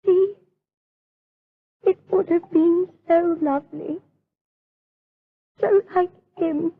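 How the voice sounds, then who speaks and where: A woman's voice: very high voice, steady tone, very slow, heavily acted. A woman playing a fearful, pious young gothic heroine in an audio drama, with melodramatic delivery. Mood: weeping, despairing. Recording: tinny band-limited radio drama.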